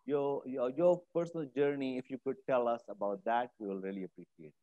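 A man's voice: mid-range voice, fairly quick, no echo. A middle-aged male host reads a formal introduction, then stumbles through his questions with plenty of fillers. The room sound is dry. The tone is slightly muffled. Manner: hesitant when asking questions, many uh's. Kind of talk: reading a guest introduction, then interviewing. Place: studio set with armchair.

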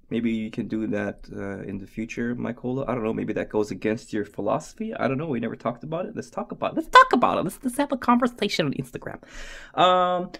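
A man doing a goofy, excitable watch strap review at his desk, talking to himself and dropping into whispers. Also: medium pitch, gravelly. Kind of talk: casual unboxing review. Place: indoors at a desk.

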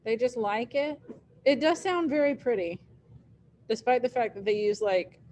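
A woman's voice: fairly high pitch, normal speed, a little mellow. A woman in her thirties, a teacher chatting casually with students on a video call, musing aloud and laughing easily. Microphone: laptop webcam, video call.

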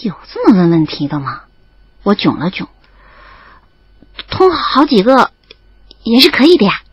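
Expressive, bashful young female student. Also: mid-range voice, unhurried, husky, no room sound, exaggerated voice. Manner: expressive, stammering when flustered. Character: young female student in love, narrating bashfully, easily flustered. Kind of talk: audio drama acting with first-person narration.

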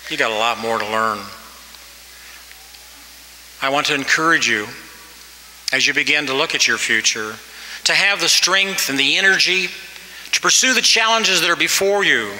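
A man's voice: a little high, lively intonation, moderate pace, pauses often, bright, forceful tone, slight background noise. A man in his sixties giving a commencement address at a podium microphone, earnest and exhorting, pushing his voice up for emphasis. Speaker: commencement speaker. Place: large hall. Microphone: podium mic. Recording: reverberant hall, faint ambience.

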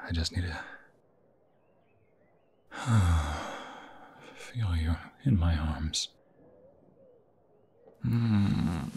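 A man playing an anxious, tender young vampire fiancé in an intimate ASMR roleplay, halting and emotional, often dropping into a breathy whisper. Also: low-pitched, a little hiss or hum, full character voice. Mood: stressed, hushed.

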